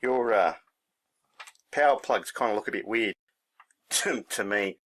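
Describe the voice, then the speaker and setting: A man's voice: fairly low pitch, a little slow, a bit bright. A man giving a casual hands-on demonstration, thinking aloud in a laid-back, unscripted way as he works. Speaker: hobbyist presenter.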